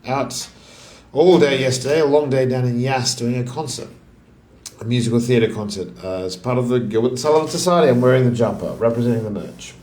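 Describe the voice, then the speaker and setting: A man thinks aloud in a casual, musing, self-questioning way as he solves puzzles, his voice sitting deeper than usual. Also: fairly quick, a little mellow. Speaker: crossword solver and video host. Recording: echoey room.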